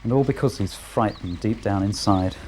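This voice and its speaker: An actor playing a weary male overseer of the village, speaking in a measured, wry and quietly regretful way. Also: lowish voice, a little hiss or hum, natural voice. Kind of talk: fan audio drama acting.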